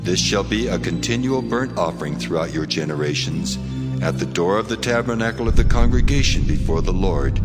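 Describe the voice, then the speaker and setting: A man playing God as a solemn, commanding deity in a dramatized scripture reading, speaking with measured authority. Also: sits low. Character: God, solemn commanding male deity giving sacred laws. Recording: long reverb, music behind.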